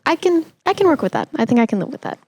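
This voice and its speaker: A woman's voice: high voice, very animated, racing, breathy edge, no echo. Woman podcast host, close dry sound. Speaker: podcast host interviewing a guest. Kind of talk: conversational interview.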